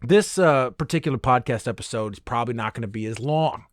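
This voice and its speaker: A man's voice: medium pitch, brisk, no room sound, studio quality. A man hosting a podcast alone, chatting casually and rambling, sweary and self-deprecating with nerdy enthusiasm. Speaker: podcast host. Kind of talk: casual, rambling solo chat.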